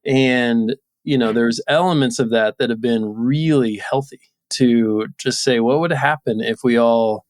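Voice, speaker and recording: A man's voice: medium pitch, a little slow, few pauses, no echo. A man in his thirties talking casually and reflectively as a guest in an interview. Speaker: podcast guest, entrepreneur.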